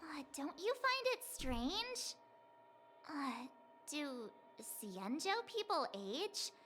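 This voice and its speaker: A woman voicing a small, childlike game mascot creature with a squeaky voice, chatty, blunt and nosy. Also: big pitch swings, extremely slow, a bit bright, exaggerated voice. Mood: puzzled. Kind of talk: video game character dialogue. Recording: music behind the voice.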